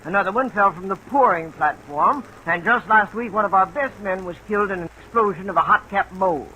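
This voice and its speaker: A man acting an earnest, worried steel mill supervisor in a 1950s radio drama, explaining things deliberately. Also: high-pitched, pushed and bright, rough recording. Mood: grave. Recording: hissy old radio recording.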